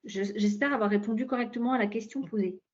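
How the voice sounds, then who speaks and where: Femme hésitante, son de visioconférence terne. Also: female voice, a little high. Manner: halting, searching for words. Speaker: local government cultural policy official, panel speaker. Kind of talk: explanatory webinar presentation. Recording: dull, lo-fi online call audio.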